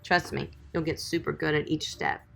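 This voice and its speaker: A woman groomer talks casually through a livestream, answering comments and calling viewers sweetie. Her voice sits low and crackles with vocal fry. Faint music plays underneath. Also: fairly even intonation, normal speed, a bit bright. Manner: chatty, encouraging, calls viewers sweetie and guys. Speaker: dog groomer.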